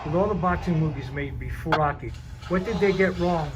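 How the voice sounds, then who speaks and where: A man's voice: fairly high pitch, a little fast, loud music behind the voice. A man interviewing, asking curious, prodding questions. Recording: background noise.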